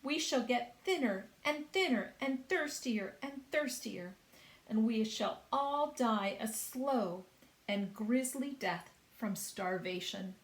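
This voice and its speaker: A woman's voice: on the high side, lively intonation, slow pace, echoey room, rough recording. A woman voicing a gloomy, blind male earthworm who complains that everyone is doomed. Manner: wailing, pessimistic.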